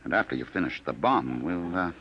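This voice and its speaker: An actor in a 1950 radio drama playing a cold, patient, menacing male agent from the future who talks smoothly and quietly threatens. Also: low-pitched, expressive, fairly quick, dull audio. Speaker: Sims, time-travel enforcer.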